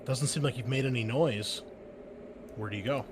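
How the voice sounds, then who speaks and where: A man's voice: medium pitch, normal speed, a little hiss or hum. Chatty man running a tabletop game. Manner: loose and chatty, full of "like" and "um" fillers. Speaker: game master.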